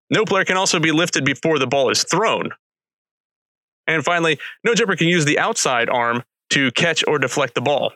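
A man's voice: mid-range voice, sharp tone, no echo. A man hosting a casual sports podcast, explaining rules like a teacher and chatting with a guest. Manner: friendly, teacherly, with fillers. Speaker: podcast host. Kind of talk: casual podcast interview and rugby lesson.

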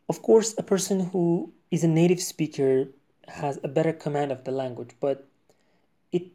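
A man's voice: fairly high pitch, warm tone. A man from Iran, an English speaker, hosting a solo podcast in a conversational, unscripted way. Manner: conversational, frequent fillers and restarts. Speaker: podcast host.

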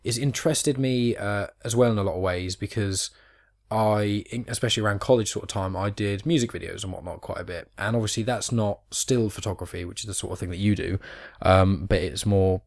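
Fast-talking congested young male podcast host. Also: fairly low pitch, no echo. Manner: rambling, many ums and sort-ofs. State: blocked nose from hay fever. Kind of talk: casual podcast chat.

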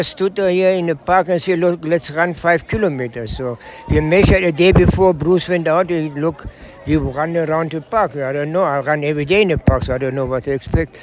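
An older South African man interviewed outdoors at a park, talking excitedly and haltingly, often repeating words. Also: on the high side, muffled recording. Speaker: interviewee, parkrunner.

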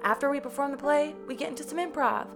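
A woman's voice: high voice, fast talker. A woman hosting a podcast, reading a scripted introduction to the show. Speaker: podcast host. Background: music bed under the voice.

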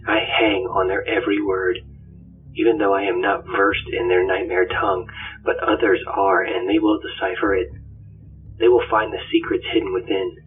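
Guy doing a grim obsessive scout whispering field notes, low and creaky, tinny radio sound. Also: recorded from a distance, heavily acted. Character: solemn, obsessive male scout stalking his enemies. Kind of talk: dramatic first-person audiobook monologue. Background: music.

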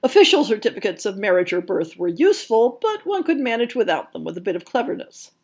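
A woman podcast host reading a scripted historical narrative in a measured, careful storytelling manner. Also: medium pitch, very animated, a little mellow, breathy edge.

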